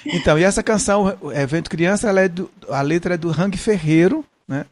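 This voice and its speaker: Man, middle-aged, softened Northeastern Brazilian accent, higher-pitched voice, casual interview talk, searching delivery. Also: fairly quick. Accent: Northeastern Brazilian, softened by São Paulo. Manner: searching, repeats words as he explains. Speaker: guest, musician.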